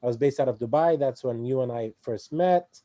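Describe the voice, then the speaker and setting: Guest man in his forties from Egypt. Very dark, mellow voice, dry sound, halting and full of fillers. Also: mid-range voice, no echo. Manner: hesitant, many fillers and restarts. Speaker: interview guest. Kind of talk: conversational interview.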